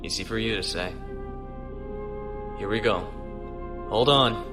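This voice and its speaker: An actor playing an earnest, dutiful young starship captain in a science fiction audio drama, with polite military formality. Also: male voice, fairly low pitch, slow, over music. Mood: resolute.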